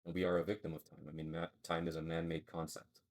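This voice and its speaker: A man with a very low voice that barely rises or falls. He co-hosts a relaxed podcast conversation and rambles as he works his ideas out aloud. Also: fast talker. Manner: thinking aloud, rambling and searching for words. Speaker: podcast co-host. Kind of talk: casual philosophical chat.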